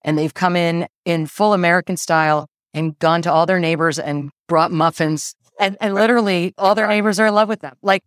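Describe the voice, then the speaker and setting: A woman's voice: on the low side, fairly even intonation, a little fast, few pauses, dry recording, clean studio sound. A woman in her forties, a real estate agent, explaining the buying process in a casual interview and laughing easily.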